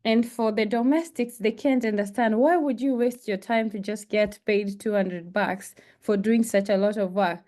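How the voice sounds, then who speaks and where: A woman's voice: medium pitch, fairly even intonation, mellow. Kenyan woman, young adult, earnest panel speaker, hesitant delivery. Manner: earnest, halting with fillers. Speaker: panel speaker, international student. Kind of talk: presentation and discussion.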